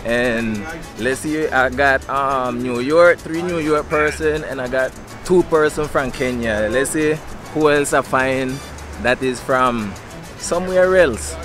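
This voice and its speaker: A man's voice: medium pitch, takes it slowly. A young man running casual street interviews on a sunny beach, upbeat and slangy, laughing often. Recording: music playing under the voice.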